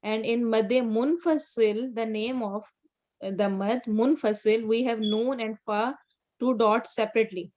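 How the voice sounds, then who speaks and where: A woman's voice: on the high side, unhurried, darkish tone, poor audio. A woman teaching a class on Quran recitation rules, explaining patiently step by step with memory tips. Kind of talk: online class instruction.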